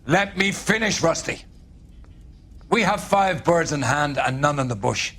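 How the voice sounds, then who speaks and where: An actor playing a stern, authoritative police captain in a game cutscene, speaking formally and with command. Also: male voice, on the high side, lively intonation, a little slow, fairly bright tone, a little hiss or hum. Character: stern, authoritative male police captain lecturing his detectives. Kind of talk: naturalistic game cutscene acting.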